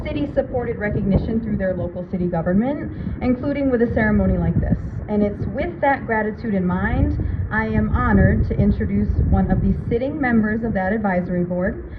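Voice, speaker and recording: Higher-voiced woman emcee, tinny noisy recording. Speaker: master of ceremonies. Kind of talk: formal event introductions and remarks. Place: outdoor public ceremony at a city hall. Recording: thin phone-like public-address sound, noisy.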